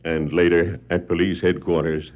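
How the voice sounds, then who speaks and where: A man's voice: sits low, flat delivery, moderate pace, close to an everyday voice. A man giving formal, portentous scripted narration for a 1960s dramatic adventure record. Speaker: story narrator. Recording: dull 1960s vinyl record.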